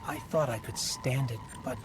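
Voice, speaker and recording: A man's voice: medium pitch, moderate pace, music in the background, close to an everyday voice, poor audio. A man playing a small, anxious, loyal companion in a video game, speaking in an earnest, worried way.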